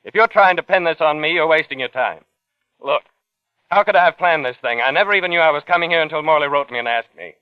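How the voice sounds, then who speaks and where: A man's voice: fairly high pitch, fast, smooth, no echo, soft background music, close to an everyday voice. Actor playing a haunted, paranoid man, muffled old radio. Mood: defensive. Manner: tense, defensive, snapping. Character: haunted, paranoid man under suspicion, narrating his unraveling. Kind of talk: old-time radio suspense acting.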